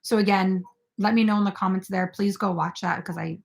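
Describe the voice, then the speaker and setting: A woman's voice: medium pitch, fairly even intonation, a little fast, vocal fry. A young woman hosting a casual crochet live stream, chatting with viewers and filled with "like" and "um". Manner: chatty, filler-heavy, reads viewer comments aloud.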